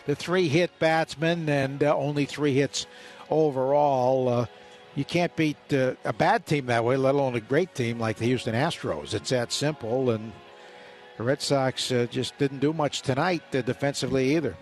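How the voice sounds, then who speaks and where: A male radio baseball commentator with a medium-pitched voice. He speaks bluntly in halting, conversational phrases over faint music. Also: fairly even intonation.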